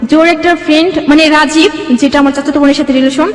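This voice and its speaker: Young woman, Bangladeshi accent, quick personal storytelling, interview guest, very reverberant room. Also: high-pitched, steady tone. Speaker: guest telling own life story. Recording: echoey room with long reverb.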